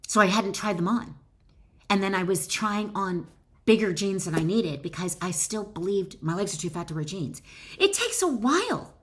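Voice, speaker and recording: A woman's voice: mid-range voice, animated, moderate pace. A middle-aged woman author reads her own wellness book aloud with chatty asides, encouraging the listener directly.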